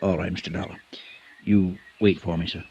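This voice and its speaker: A man's voice: low-pitched, little pitch movement, moderate pace, vocal fry, over loud music. An actor playing a nervous, deferential male ranch hand in a 1950s radio drama, polite and full of sirs. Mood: uneasy. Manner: polite, nervous, calls everyone sir. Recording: dull lo-fi old radio transcription.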